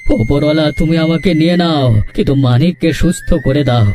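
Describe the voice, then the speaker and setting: An actor playing a stubborn, remorseful uncle who heads a family, speaking in an emotional, pleading cartoon melodrama style. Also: male voice, on the high side, brisk, close to an everyday voice. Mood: grieving, praying.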